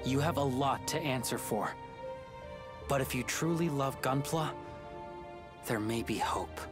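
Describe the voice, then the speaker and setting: A man acting a stern, composed leader in a video game. His mid-pitched voice is measured and serious, set over clear background music. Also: natural voice.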